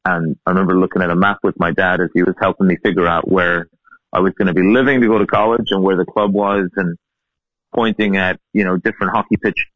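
A man in his thirties from Ireland with a softened Irish accent, a field hockey coach chatting as a guest and telling his story with easy laughs. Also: sits low, quick pace, no echo. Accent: Irish, softened by years in the US. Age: 30s. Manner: relaxed, chatty, laughs while telling stories. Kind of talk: casual interview storytelling. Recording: tinny phone-like line, dry.

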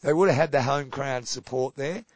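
A man with a slightly croaky voice runs through hockey scores in an easygoing podcast chat, his pitch sitting in a plain middle range. The sound is dry and a little dull, like a lo-fi home recording. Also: no room sound. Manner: brisk results rundown, trailing off quietly. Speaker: podcast co-host. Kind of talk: casual sports chat reading results.

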